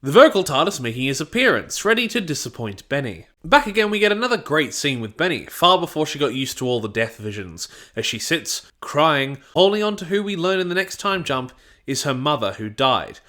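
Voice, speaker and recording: A man with a fairly high voice delivers a scripted review. His intonation swings widely, and he is wry and opinionated as he narrates. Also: very animated, brisk, sharp tone. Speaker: audio drama reviewer.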